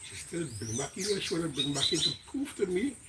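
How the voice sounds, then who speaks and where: Animated Caribbean man, higher-pitched. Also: fast talker, heavy background noise. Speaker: neighbor. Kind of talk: casual chat.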